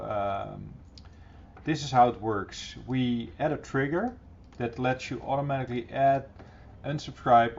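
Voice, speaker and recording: A middle-aged man presenting an informal, encouraging software tutorial in a casual style, recorded on a computer microphone. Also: mid-range voice. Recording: dull, slightly noisy computer recording.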